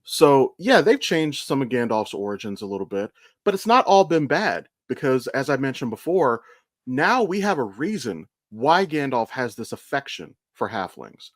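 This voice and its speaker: A man talks as a podcast co-host in a medium-pitched voice. He lays out his fan opinions in casual, sweary chat, with very little room sound around him. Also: sing-song, normal speed, no room sound, studio quality. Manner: sweary, explanatory fan talk. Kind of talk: casual chat, opinionated pop-culture commentary.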